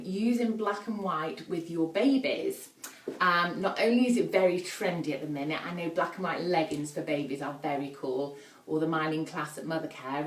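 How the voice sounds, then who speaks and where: Northern english woman doing a casual live demo, chatty and informal, slightly low voice. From northern England, Yorkshire. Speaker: baby play live-stream host. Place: indoors at a family house.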